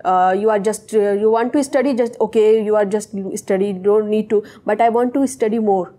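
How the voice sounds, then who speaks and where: A young woman whose first language is Urdu, answering interview questions in hesitant, halting English. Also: medium pitch, warm tone. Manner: hesitant, searching for words. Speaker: interviewee, technical associate.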